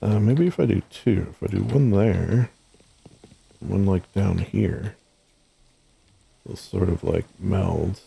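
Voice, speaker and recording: A hoarse man mumbling to himself as he plays a game, thinking aloud and trailing off, speaking close to a desk mic at home. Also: low voice, slow, long pauses, dark tone, a little hiss or hum. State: hoarse, losing his voice. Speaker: gaming streamer.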